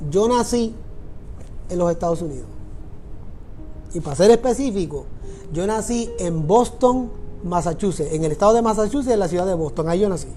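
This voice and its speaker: A man's voice: high voice, lively intonation, normal speed, pauses often, dark tone, soft background music. A middle-aged man from Puerto Rico with a Puerto Rican Spanish accent, preaching a sermon and repeating phrases for emphasis. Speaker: pastor.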